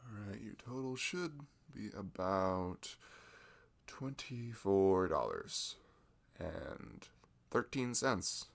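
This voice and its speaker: A man's voice: fairly low pitch, sing-song, extremely slow, creaky. A young man doing a chatty audio roleplay as a friendly shopkeeper, laughing often between lines. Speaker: ASMR roleplay creator.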